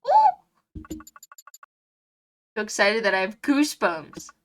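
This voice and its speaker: A woman voicing an excitable, goofy male skeleton who exclaims and jokes, in a visual novel game read-through. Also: medium pitch, slow, slight background noise. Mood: giddy, excited. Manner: exclaiming, joking.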